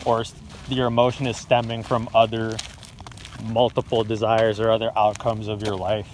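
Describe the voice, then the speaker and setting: A man's voice: lowish voice, monotone, normal speed, slight background noise, poor audio. Young man rambling casually outdoors. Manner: rambling, laid-back, swears casually. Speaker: friend recording the talk. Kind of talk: casual philosophical chat. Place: outdoors on a walk.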